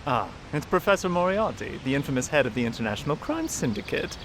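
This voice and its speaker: An actor playing an eloquent, defiant Victorian detective in a radio drama, formal, theatrical and pompous. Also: male voice, on the high side, very animated, fast, fairly bright tone, a little hiss or hum. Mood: cool, smug. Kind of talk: melodramatic radio drama acting.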